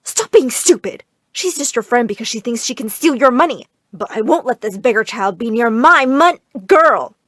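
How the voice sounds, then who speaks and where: A woman doing a shrill, screeching overbearing mother. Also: animated, brisk, no echo, studio quality. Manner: screeching, bossy, melodramatic. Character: shrill doting overbearing mother, middle-aged.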